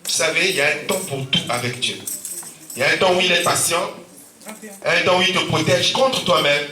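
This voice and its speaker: A pastor with a Central African French accent preaches with a sore, stuffy throat, repeatedly calling out amen and alleluia. His voice is bright and fairly high for a man. He is heard through a roomy church, picked up from some distance away. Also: brisk. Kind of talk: sermon. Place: church hall.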